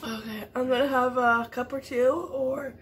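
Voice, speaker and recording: A woman's voice: high voice, steady tone, normal speed. Woman in her 30s rambling to the camera in her kitchen, laughs a lot, noisy phone audio. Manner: chatty, rambling, laughs often. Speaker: vlogger. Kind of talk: casual morning chat to camera. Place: home kitchen, indoors.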